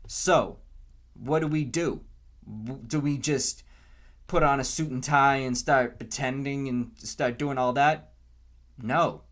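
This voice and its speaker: A young American man speaks very slowly at an average pitch for a man. He is earnest and deliberate, building an off-the-cuff opinion monologue piece by piece. He repeats his phrases to drive them home, like a podcast host thinking aloud. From Canton, Massachusetts, US.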